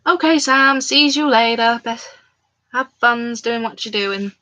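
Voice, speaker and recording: Woman streaming from home, bright voice, loose rambling chat with viewers. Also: a little high, unhurried, lo-fi sound. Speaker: crafts livestreamer. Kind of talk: casual live-stream chat. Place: at home, indoors.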